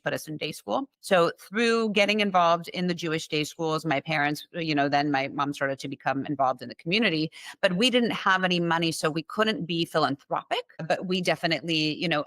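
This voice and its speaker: A woman's voice: low voice, a bit bright, dry recording. A middle-aged woman from Iran, raised in Los Angeles, telling her family story as an interview guest, earnest and flowing with many fillers and restarts.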